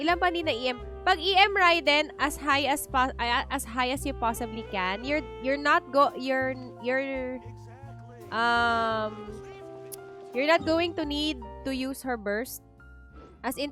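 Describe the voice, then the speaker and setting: A woman with a Filipino accent and a high voice streams a game. She chats informally, thinking out loud as she goes. Faint music runs underneath. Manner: chatty, informal, thinking aloud. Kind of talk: casual livestream chat and game commentary.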